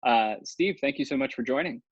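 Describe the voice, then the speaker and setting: A man's voice: medium pitch, animated, fairly quick, husky, no echo. A man hosting an interview, upbeat and giving brief encouragements. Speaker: interview host, account manager. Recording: dry muffled lo-fi audio.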